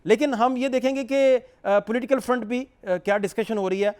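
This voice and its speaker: A man's voice: very high-pitched, fairly even intonation, normal speed, darkish tone, clean tone. A man with a Pakistani accent hosting a political talk show, putting questions politely to his guest. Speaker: television talk show host.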